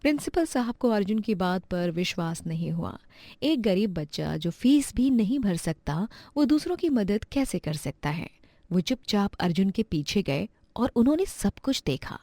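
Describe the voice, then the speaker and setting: Female storyteller, scripted narration, medium pitch, close dry recording. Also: very animated, moderate pace, warm tone, no echo.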